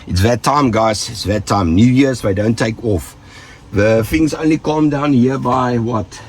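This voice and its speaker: A man's voice: medium pitch, measured pace, slight background noise. A South African man with the flu, giving casual, joking safety tips to his viewers and rambling off with trailing asides. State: sick with flu, rough voice. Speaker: vlogger.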